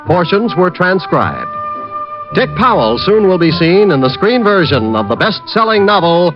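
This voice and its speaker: A man's voice: high voice, big pitch swings, normal speed. An American man announcing from a script in the polished style of mid-century radio. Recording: dull old radio recording, music behind. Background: music.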